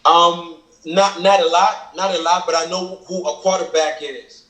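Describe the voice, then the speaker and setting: A man's voice: high voice, level tone, a little slow, fairly bright tone. Man, earnest and explaining. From Cameroon. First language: Kenyang. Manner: earnest, explains at length, keeps asking "you feel me". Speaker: rapper explaining his lyrics. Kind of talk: casual reaction chat. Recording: echoey room.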